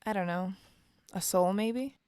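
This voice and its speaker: A woman's voice: medium pitch, measured pace, a little mellow, smooth, no room sound, studio quality, lightly acted. A woman playing a guarded, self-doubting young trainee in an audio drama, hesitant and downbeat in naturalistic dialogue. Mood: uncertain, searching. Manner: hesitant, downbeat, clipped answers.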